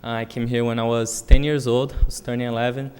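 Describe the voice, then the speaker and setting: A man's voice: mid-range voice, little pitch movement, fast talker, a little mellow, clean tone. A young man in his twenties with a Brazilian accent, a native Portuguese speaker, telling his story informally at a public meeting with many fillers. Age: 20s. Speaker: alumnus speaking at public comment. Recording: long reverb in meeting hall.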